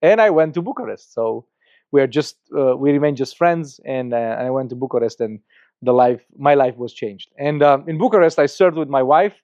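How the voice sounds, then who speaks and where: A man's voice: medium pitch, lively intonation, moderate pace, darkish tone, no echo. A middle-aged man from Romania with a Romanian accent, a podcast guest telling his story in earnest, with many restarts and repeated words. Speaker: guest, church planter. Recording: slightly muffled dry podcast audio.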